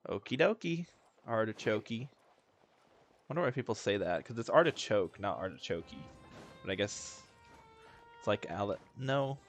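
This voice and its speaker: A man's voice: fairly low pitch, very animated, moderate pace, long pauses, faint music behind. Jokey young guy gaming. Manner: rambling, jokey. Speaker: game streamer. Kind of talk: casual gameplay commentary.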